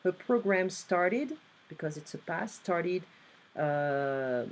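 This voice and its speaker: A woman's voice: on the low side, animated, slow. A woman tutoring English one-on-one online, patiently explaining grammar and reading exercises aloud.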